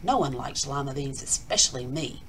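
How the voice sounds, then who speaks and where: A woman's voice: a bass voice, lively intonation, moderate pace, a bit bright, a little hiss or hum, voice pushed down, full character voice, poor audio. A woman voicing a self-conscious, stubborn but earnest schoolgirl from a picture book, plaintive and emphatic. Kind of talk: children's picture-book character reading.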